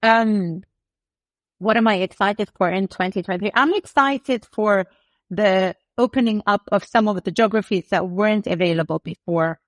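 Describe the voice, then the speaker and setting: A middle-aged woman, raised in the UK, speaking as a company co-founder and guest in a casual interview. She talks with animated confidence, drawing on vivid examples. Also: medium pitch, no echo.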